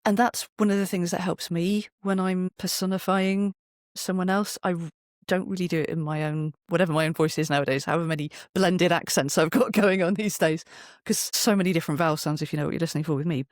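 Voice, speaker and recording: A podcast guest with a medium-pitched voice talks through their craft in conversation. They explain discursively, breaking off for asides and picking the thread back up. The recording is very dry, with hardly any room sound. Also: fairly even intonation, fast, dry recording. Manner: discursive, self-interrupting asides. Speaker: podcast guest, storyteller and voice performer. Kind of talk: conversational interview, explaining from experience.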